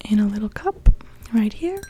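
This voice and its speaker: A young woman playing a kindly mermaid witch in a whispered ASMR roleplay, gently attending to a customer as she brews potions. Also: mid-range voice, big pitch swings, normal speed, a little hiss or hum, natural voice. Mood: attentive. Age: young adult.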